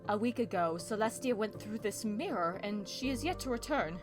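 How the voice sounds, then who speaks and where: A woman's voice: mid-range voice, lively intonation, moderate pace, lightly acted. A woman playing a worried, regal pony princess of the night in a cartoon comic dub, speaking in formal, archaic royal diction. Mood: grave, troubled. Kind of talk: fan comic dub acting. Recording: music behind the voice.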